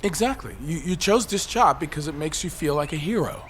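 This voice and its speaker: A bitter loner in a naturalistic audio drama, his voice a touch high for a man. He talks in a halting, defiant, profane way, suspicious of everyone. A faint noise sits behind him. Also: lively intonation, a bit bright. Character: bitter, paranoid, suicidal loner perched on a high ledge.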